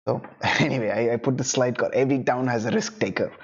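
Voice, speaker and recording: A man's voice: mid-range voice, fast, lo-fi sound. A young man whose first language is Tamil, coaching freelancers in a casual online lesson and often adding "right?" and "you know". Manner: conversational, many "right?" and "you know" tag questions. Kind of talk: online lesson, talking to camera.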